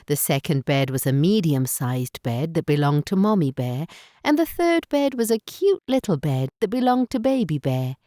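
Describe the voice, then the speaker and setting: A woman narrating a children's bedtime story in careful, expressive phrases. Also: deep voice, normal speed, dry recording, clean studio sound.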